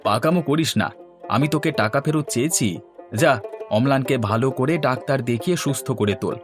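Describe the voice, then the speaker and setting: A helpful working man in a cartoon tale, acted by a man. He talks like a bossy, generous older brother, with music clearly playing behind him. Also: medium pitch, a little fast, lightly acted. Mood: kindly firm. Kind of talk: Bengali fairy-tale cartoon dubbing.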